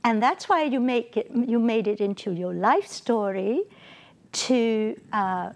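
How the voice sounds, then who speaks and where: Slow, halting high-voiced woman host. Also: lively intonation. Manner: halting, many fillers and restarts. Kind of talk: community TV interview. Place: small TV studio.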